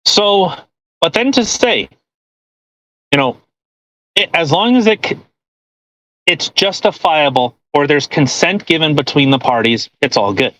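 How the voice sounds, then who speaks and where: A man co-hosting a podcast, giving casual commentary in halting, rambling explanations peppered with "you know". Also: a little high, lively intonation, sharp tone, dry recording, poor audio. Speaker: podcast co-host.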